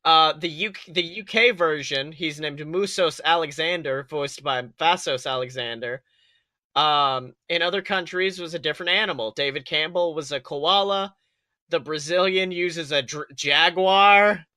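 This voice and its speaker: A man talking casually as a podcast co-host, excitable and exclamatory, swearing freely as he goes. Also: on the high side, measured pace, no room sound. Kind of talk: casual chat about an animated film.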